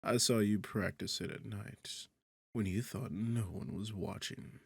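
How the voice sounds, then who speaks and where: A sarcastic demon king played by a man speaks in a husky, low-pitched voice, close and dry as in an ASMR roleplay. He is theatrical and condescending, teasing in an unhurried way with a gravelly edge. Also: steady tone, no echo, exaggerated voice. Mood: mocking, gloating. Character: sarcastic, smug demon king toying with a captured hero. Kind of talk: ASMR roleplay audio drama.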